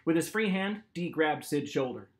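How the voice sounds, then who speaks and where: A man in his forties reading a novel aloud as its author, with expressive, dramatic narration. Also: a little high, moderate pace. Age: 40s. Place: small home office, indoors.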